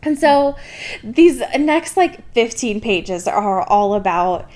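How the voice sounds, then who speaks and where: A woman's voice: a little high, animated, takes it slowly, a little mellow. A woman podcast host casually recapping a book in a sardonic, informal, sweary manner.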